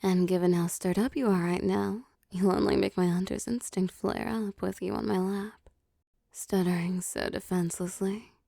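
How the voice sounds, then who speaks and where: A woman playing a possessive, doting, wealthy vampire boss in intimate roleplay audio, soft and teasing, often slipping into whispers. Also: medium pitch, steady tone, gravelly, dry recording, studio quality. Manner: slow, teasing, pampering, softly commanding.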